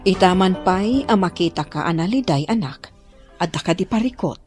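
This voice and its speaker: A woman's voice: on the low side, animated, normal speed, over music, close to an everyday voice. A woman with an Ilocano Filipino accent playing a concerned, inquisitive mother in a radio drama. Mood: probing, chiding. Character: concerned, inquisitive mother advising her grown son.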